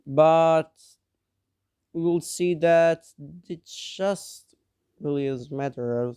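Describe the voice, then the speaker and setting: A man's voice: fairly high pitch, drawn-out words, dark tone, clear, resonant tone, no echo, studio quality. A young man narrating a map scenario in a casual, rambling way, with offhand teasing asides; he is a bit sick. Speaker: mapping video narrator.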